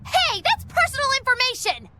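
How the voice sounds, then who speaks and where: A woman's voice: extremely high pitch, huge pitch swings, normal speed, very noisy, exaggerated voice. A woman voicing a playful, scheming young witch in a video game, with a dramatic, teasing manner. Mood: indignant. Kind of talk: English video game voice acting.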